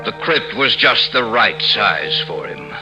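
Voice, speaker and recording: Vengeful aristocrat, 1950s radio horror, insinuating delivery, animated pitch, long cavernous reverb, music behind. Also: male voice. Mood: gloating. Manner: deliberate, insinuating, mock-solicitous. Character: sinister, vengeful nobleman feigning concern, narrating his crime. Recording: echoey old radio drama, music behind.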